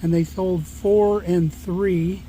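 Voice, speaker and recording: A man casually narrating to his camera outdoors, explaining a property sale in a relaxed way. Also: high voice, slow pace, slight background noise, rough recording. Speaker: vlogger.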